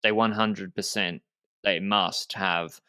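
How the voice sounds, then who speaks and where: A man with a fairly deep, clean and resonant voice talks casually as a podcast host. He thinks aloud, circling back on phrases as he goes. The sound is dry, with little room around it. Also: steady tone, slow, pushed and bright, clear, resonant tone, dry recording.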